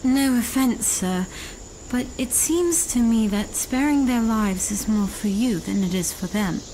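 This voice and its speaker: Actress as a mellow, highish earnest young woman. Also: expressive, measured pace, a little hiss or hum, low-quality recording. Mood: earnest, curious. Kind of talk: audio drama acting.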